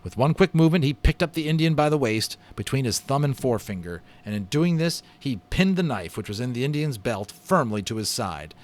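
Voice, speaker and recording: A man's voice: mid-range voice, lively intonation, a little fast, few pauses. A man reading a children's story aloud with enthusiastic, dramatic expression and casual asides. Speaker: amateur voice actor reading a children's book aloud.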